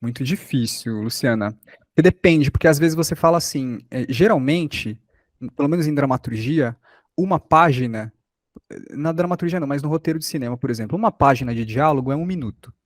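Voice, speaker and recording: A man's voice: medium pitch, animated, fairly quick, dry recording, clean studio sound. A Brazilian man giving an informal lesson as a workshop instructor, explaining by example with rhetorical questions and check-ins.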